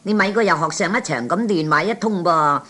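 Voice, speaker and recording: A man's voice: high-pitched, brisk, pushed and bright. A man voicing a sceptical, nagging woman at the races in a scolding, pointed manner, Cantonese 1960s radio comedy.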